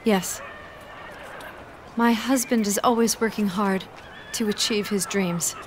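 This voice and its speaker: A woman voicing a devoted, gentle young wife and war heroine in a video game, speaking in an earnest, formal way. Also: mid-range voice, steady tone, takes it slowly, slight background noise, close to an everyday voice. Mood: resolute. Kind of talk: scripted video game cutscene acting.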